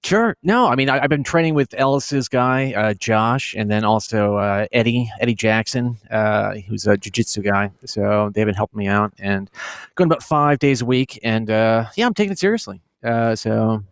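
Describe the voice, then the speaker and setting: Calm man in his forties on a call. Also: fairly low pitch, moderate pace, clean tone, dry recording. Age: 40s. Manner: calm, hesitant, lots of fillers. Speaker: guest fighter on a call. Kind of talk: casual chat. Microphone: remote call.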